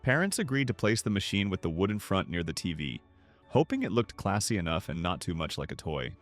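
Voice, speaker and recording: A man narrates a scripted documentary in a fairly low voice. His intonation moves widely, yet the delivery stays measured and polished like practiced storytelling. Music plays behind him. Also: big pitch swings.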